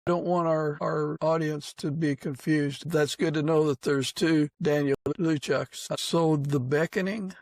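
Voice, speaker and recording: A man hosting a podcast interview in a conversational way, haltingly searching for his words. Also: on the high side, steady tone, vocal fry, no room sound. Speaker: podcast host. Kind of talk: conversational interview with a prayer and sign-off.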